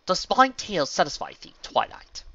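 An imperious pony princess from a storybook, performed by a man, booming and raised in pitch. She speaks in formal, archaic royal phrases with a bright, forceful edge. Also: sing-song, moderate pace, muffled recording, full character voice. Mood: solemn.

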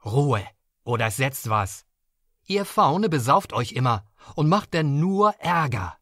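A man voicing a gruff, commanding guard in a fantasy audio drama, stern and threatening. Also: medium pitch, sing-song, slow, fairly bright tone, no echo, studio quality, heavily acted. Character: gruff, threatening male guard scolding drunken fauns.

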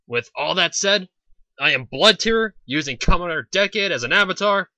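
Bright, sarcastic male commentator. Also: sits high, lively intonation, normal speed, no echo. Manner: mocking, questioning. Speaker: commentary host.

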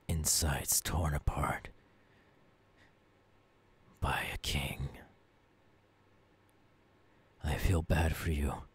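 A man playing a tormented, menacing young ghoul king in an ASMR audio roleplay, in a breathy, often whispering voice with brooding phrasing. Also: a bass voice, fairly bright tone, heavily acted. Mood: menacing, hungry. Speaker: voice actor.

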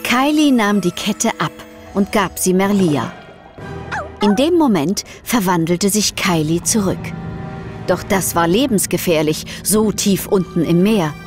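A woman's voice: mid-range voice, lively intonation, measured pace, music in the background. A woman narrator reading a scripted children's adventure story.

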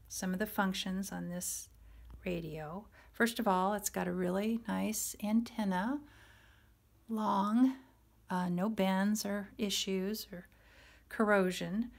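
A woman giving a casual product demonstration in a halting, matter-of-fact way. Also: medium pitch, creaky. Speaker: seller demonstrating a vintage radio.

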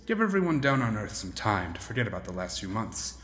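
An actor playing an easygoing, persuasive man recruiting for a job, smooth-talking, in an audio drama. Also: fairly low pitch, big pitch swings, a little fast, fairly bright tone. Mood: coaxing. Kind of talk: naturalistic audio drama acting. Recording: roomy echo, faint noise.

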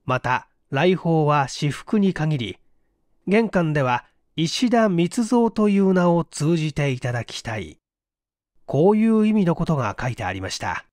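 A man narrating a mystery story as an audiobook, in a measured, literary and dramatic storytelling manner. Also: on the high side, lively intonation, a little mellow, no room sound, clean studio sound. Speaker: audiobook reader, stage actor.

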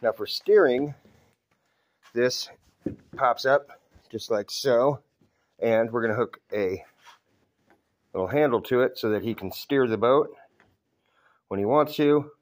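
A middle-aged man giving a casual, folksy, self-deprecating demonstration of his homemade build in short, halting phrases, recorded on a handheld phone in a garage. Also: mid-range voice, a little hiss or hum. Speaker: hobbyist DIY builder. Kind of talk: casual show-and-tell demonstration. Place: cluttered garage with open door. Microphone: handheld phone held by the speaker.

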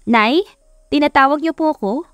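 A woman's voice: high voice, normal speed, no echo, voice pushed up, natural voice. A woman with a Filipino accent voicing a shy, flustered young female employee who speaks politely and hesitantly in an audiobook. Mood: calling out.

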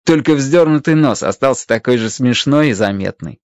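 Animated storyteller with a dry, close sound. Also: mid-range voice, normal speed, no room sound, dull audio. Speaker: narrator. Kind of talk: expressive scripted storytelling, radio play.

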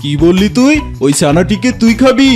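A performer plays a dim, greedy old tiger uncle in a kids' cartoon. The mid-pitched voice blusters, grumbles and bosses, with music playing behind it. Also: big pitch swings, normal speed, close to an everyday voice. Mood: bossy. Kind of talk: children's cartoon voice acting.

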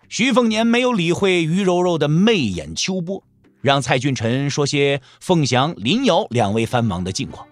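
Animated, higher-pitched male storyteller. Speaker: narrator. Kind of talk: dramatic scripted storytelling narration. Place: dry studio.

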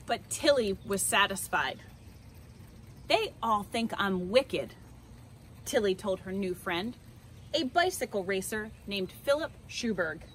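A woman's voice: medium pitch, expressive, takes it slowly, fairly bright tone. A middle-aged woman presenting a children's picture book, recorded outdoors in a driveway a few steps from the camera. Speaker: children's read-aloud presenter. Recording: outdoor noise behind the voice.

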